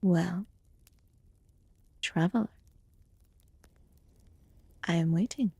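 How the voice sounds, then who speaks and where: A woman voicing a calm, mysterious immortal witch in a soft-spoken ASMR fantasy roleplay, with formal, archaic phrasing and a breathy voice that often slips into whispers. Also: lowish voice, drawn-out words, no echo, heavily acted. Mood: coaxing, curious. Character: calm, mysterious immortal witch tending a wounded human guest.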